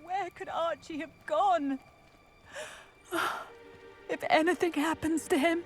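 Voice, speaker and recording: A distraught widowed mother in a video game. Her voice is very high and tearful, full of fear, and drags slowly over faint music. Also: fairly even intonation. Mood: shocked grief. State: tearful, close to crying. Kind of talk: video game character acting.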